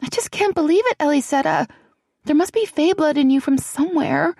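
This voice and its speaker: A woman voicing a frightened young woman who speaks high and breathlessly to her friend. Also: brisk, darkish tone, dry recording, studio quality. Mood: eager.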